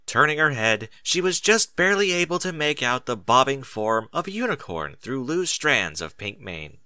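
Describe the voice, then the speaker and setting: A man reading a scripted story as a narrator, in an expressive and deliberate dramatic style. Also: medium pitch, no room sound. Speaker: narrator of fan fiction audiobook.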